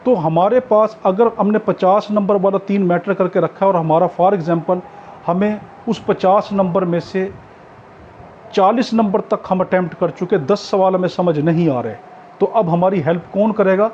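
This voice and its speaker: Pakistani male tutor, high-pitched mellow voice, informal instructive lecture delivery. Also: normal speed. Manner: instructive, repeats key points for emphasis. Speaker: law exam tutor. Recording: dull lo-fi audio with background noise.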